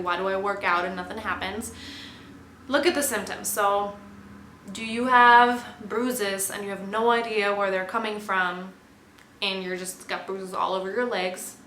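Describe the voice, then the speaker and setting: A woman's voice: mid-range voice, bright, forceful tone, reverberant, slight background noise, poor audio. A woman in her twenties explaining a personal topic to her viewers in an earnest, straightforward way. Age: 20s. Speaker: vlogger.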